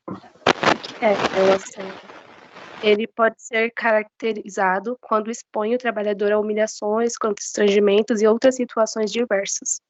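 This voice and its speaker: A woman's voice: mid-range voice, muffled recording. A woman with a Brazilian accent and a flu-affected voice, giving an informal, partly read class presentation a little hesitantly. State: has the flu, voice slightly off. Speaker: student giving a safety talk. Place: online video class.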